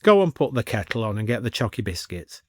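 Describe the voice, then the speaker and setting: A man's voice: lowish voice, sing-song, fast, darkish tone, husky, no echo, clean studio sound. A man voicing a wry, easygoing male antique shop owner with a blunt manner, in a story. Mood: wry, gruff.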